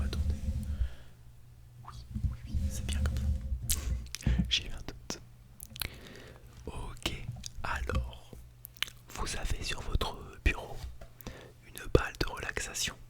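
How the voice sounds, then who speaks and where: ASMR performer for a classroom role-play: fully whispered, breathy, gently instructive with light playfulness, close-miked in binaural. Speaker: ASMR artist role-playing as a teacher at an ASMR school. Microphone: close Blue Yeti microphone in binaural mode.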